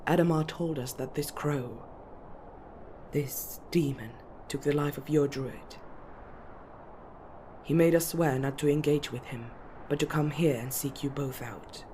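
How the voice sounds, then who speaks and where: A woman voicing a dignified, proud elven lady who speaks formally and in measured tones. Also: deep voice, mellow, a little hiss or hum. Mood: grave. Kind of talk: ASMR fantasy roleplay.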